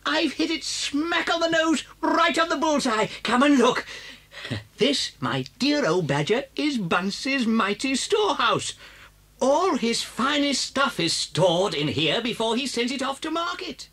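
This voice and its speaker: A man's voice: extremely high pitch, sing-song, unhurried, sharp tone, heavily acted. A British actor playing a sly, confident, charming father fox in a children's audio story, suave and theatrical, relishing his words. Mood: sly, gloating.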